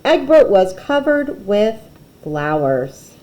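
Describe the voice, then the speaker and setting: A woman reading a children's picture book aloud expressively, with dramatic pauses and emphasis. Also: medium pitch, big pitch swings, mellow, slight background noise.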